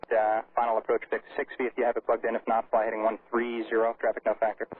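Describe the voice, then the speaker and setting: Male air traffic controller, very monotone procedural delivery, crackly aviation radio sound. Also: mid-range voice, quick pace, narrow, tinny audio. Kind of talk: clipped procedural radio instructions.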